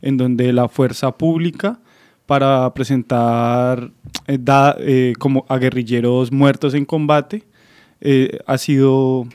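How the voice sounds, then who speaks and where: A young man from Bogotá with a Colombian accent, explaining as an interview guest in a halting way, searching for words. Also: medium pitch, fairly even intonation, a little mellow, smooth, no echo, clean studio sound. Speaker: interview guest, student activist. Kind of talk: explanatory radio interview answer.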